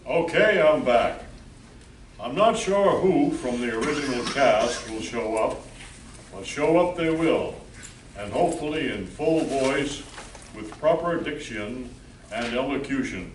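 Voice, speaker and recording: A man's voice: mid-range voice, expressive, measured pace. A man announcing and narrating a live old-time radio play in a formal, showman-like way. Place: live stage show in an echoey room. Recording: echoey distant hall recording with noise.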